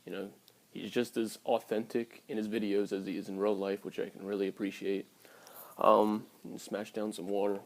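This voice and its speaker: A man's voice: on the low side, little pitch movement, normal speed. A man in his early twenties talking casually and candidly to his viewers in a blunt, sweary vlog style. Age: early 20s. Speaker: vlogger.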